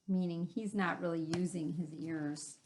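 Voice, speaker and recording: A woman's voice: fairly low pitch, unhurried, slight background noise. Woman reading aloud with chatty asides. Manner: explanatory, animated asides. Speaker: read-aloud host, teacher. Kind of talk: chatty children's picture book read-aloud.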